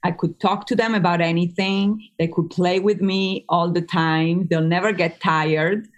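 A woman from northern Italy with an Italian accent, a native Italian speaker, chatting as a psychologist guest on a podcast and often pausing with fillers. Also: on the low side, fairly even intonation. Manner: chatty, with frequent fillers and restarts. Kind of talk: podcast interview.

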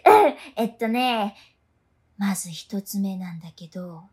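A woman's voice: medium pitch, a little slow, a little mellow, slightly rough, voice pushed down. A woman playing a friendly, quirky teenage schoolgirl in a radio drama, telling things with guileless eagerness. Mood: eerie, eager. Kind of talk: conversational radio drama acting.

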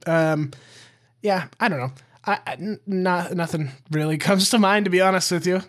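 A man's voice: sits high, normal speed, a bit bright. A young man co-hosting a podcast, joking dryly and reading short police reports aloud. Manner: dry, teasing humor, easy laughter. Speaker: podcast co-host. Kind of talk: casual chat and reading news items.

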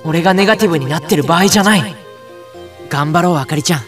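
A man's voice: a little high, fast talker, far from the mic, faint music behind, close to an everyday voice. A man playing an earnest, kind young boy who narrates his own adventure in an audio drama. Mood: gentle, determined. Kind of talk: audio drama inner monologue.

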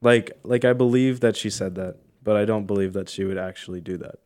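A man's voice: lowish voice, a little fast, a little mellow. A man in his early twenties chatting casually with a friend, asking curious questions. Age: 20s. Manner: curious, questioning, laughs easily. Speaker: friend in conversation. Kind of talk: casual chat.

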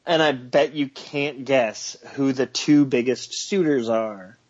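A man's voice: mid-range voice, slow, a little mellow, slightly rough, dull audio. A man co-hosting a casual sports podcast, joking and making bold predictions with frequent stammered restarts. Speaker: podcast co-host.

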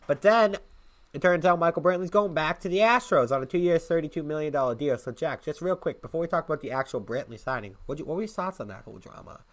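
A man's voice: on the high side, fast talker. A young man co-hosting a casual sports podcast, sounding congested and sick, joking and teasing his co-host.

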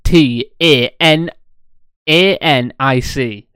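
A man's voice: medium pitch, very slow, a bit bright, smooth, no echo, clean studio sound. A young man co-hosting a podcast, bantering casually with friends in a blunt, sweary way. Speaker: podcast co-host.

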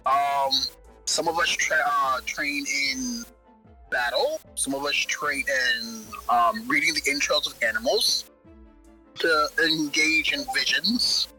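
An actor playing a zealous, bloodthirsty vampire cult high priest in an improvised audio drama, with halting, relishing speech. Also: pushed and bright, soft background music, rough recording. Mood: proud, devoted. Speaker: roleplayer in an interview audiodrama.

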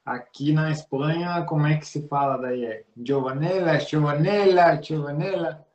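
A man's voice: fairly high pitch, moderate pace, darkish tone, highs cut off. Curious man in an echoey room. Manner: curious, asks questions. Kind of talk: casual interview chat.

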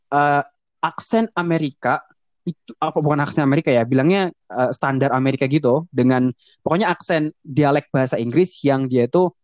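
A man's voice: a little high, a little mellow, dry recording. A young man from Indonesia chatting casually on a podcast, switching between Indonesian and English with lots of fillers and restarts. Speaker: podcast co-host. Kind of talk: casual bilingual podcast chat.

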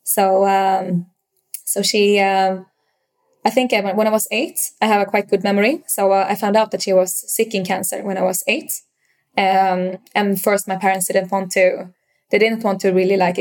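A woman's voice: medium pitch, little pitch movement, moderate pace, a little mellow. A young woman from Sweden with a Swedish accent, a startup founder answering interview questions, hesitant, with many fillers and restarts.

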